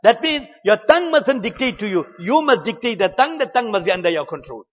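A man giving an earnest, measured religious talk, mixing in Arabic and Urdu religious terms. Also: high-pitched, big pitch swings, breathy edge, in a large hall, muffled recording. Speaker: Islamic preacher.

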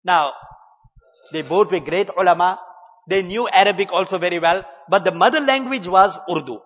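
A man giving an earnest, measured religious talk, mixing in Arabic and Urdu religious terms. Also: high-pitched, fairly bright tone, big-room sound, muffled recording. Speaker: Islamic preacher.

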